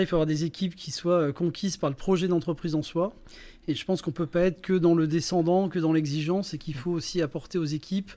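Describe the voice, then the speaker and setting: A man in his fifties from northern France sits as a podcast guest and talks about his working life. His voice sits a little high for a man. He hesitates often and fills the gaps as he goes. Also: mellow. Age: 50s. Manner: hesitant, many fillers and restarts. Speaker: podcast guest, entrepreneur and performer. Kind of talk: casual interview talk.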